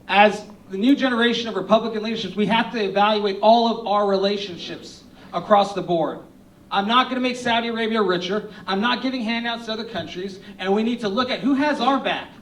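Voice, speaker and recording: A man's voice: high-pitched, a bit bright. A man who is a congressional candidate, answering at a forum in a confident, punchy way. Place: event hall. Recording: echoey hall from far off.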